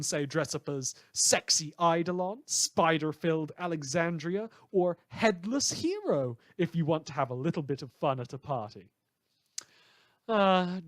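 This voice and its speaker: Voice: mid-range voice, no echo, close to an everyday voice. A performer playing a chatty, wry radio news host in a superhero world, theatrical and teasing, rambling and correcting themself in a fan audio drama. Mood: playful, wry.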